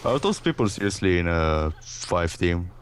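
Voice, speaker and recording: Low-voiced, dry, sarcastic male game commentator. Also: big pitch swings, moderate pace. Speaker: gaming co-commentator.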